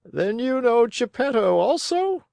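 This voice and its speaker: A woman voicing a large, kindly male pigeon, calm and helpful, with a gentle, measured storybook delivery. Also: a little high, vocal fry, no room sound, pitched above the performer's own voice, heavily acted.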